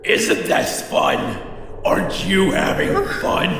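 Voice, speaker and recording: An actor playing a sinister, taunting tormentor in a horror audio play, menacing and delighted by cruelty. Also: male voice, takes it slowly, a bit bright. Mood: gleeful menace. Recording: noisy, echoing cavernous reverb.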